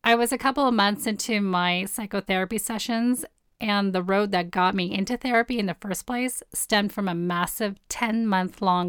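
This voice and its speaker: A woman in her forties with a hoarse, partly lost voice, hosting a personal storytelling podcast in a candid, blunt way. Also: medium pitch, fairly bright tone, no room sound, clean studio sound. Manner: candid, blunt, swears casually. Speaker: podcast host.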